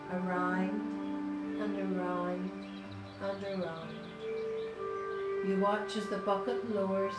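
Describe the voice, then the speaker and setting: A woman reading a guided meditation in a measured way, pausing between short phrases. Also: mid-range voice. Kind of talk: slow guided meditation narration. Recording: roomy echo, distant voice, loud music. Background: music.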